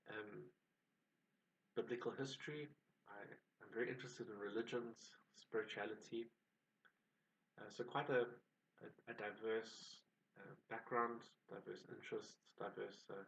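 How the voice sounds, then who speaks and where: A man with a South African accent, introducing himself on his own channel in a measured, reflective way. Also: fairly low pitch, vocal fry, a bit bright, distant mic, highs cut off, slight background noise. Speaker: channel host. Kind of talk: personal introduction to camera.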